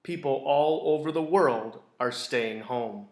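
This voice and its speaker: A man reading a children's picture book aloud in a deliberate, expressive storytelling way. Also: medium pitch. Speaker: school read-aloud storyteller. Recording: roomy, echoey indoor sound.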